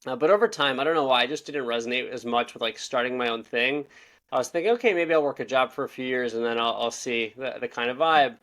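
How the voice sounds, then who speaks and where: A man's voice: medium pitch, a bit bright. A young man in his twenties from Israel, chatting casually as a podcast guest, rambling and correcting himself as he goes. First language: Russian. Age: 20s. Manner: fast, rambling, self-correcting. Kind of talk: casual interview talk.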